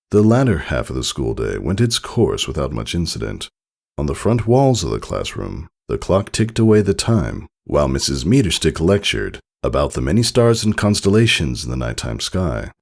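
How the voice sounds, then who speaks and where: Male story narrator, deep and fry-laden, expressive scripted reading. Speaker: fan fiction reader.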